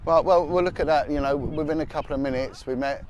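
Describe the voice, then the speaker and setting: A man's voice: a little high, fairly even intonation, fast, darkish tone, muffled recording. A middle-aged man, hosting a live podcast outdoors in a city park, talking bluntly and with passion and repeating himself as he gets fired up. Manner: blunt, impassioned, repeats words when fired up. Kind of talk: outdoor live-stream chat with passers-by.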